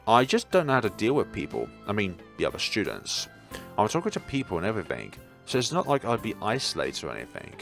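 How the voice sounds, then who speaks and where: Man as unsure, awkward high school boy in a visual novel, low voice, hesitant delivery, faint music. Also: wildly swooping pitch, fast talker, gravelly, a bit bright, full character voice. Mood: hesitant, uneasy. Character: awkward, unsure teenage male student, self-conscious. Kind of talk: visual novel dialogue read aloud.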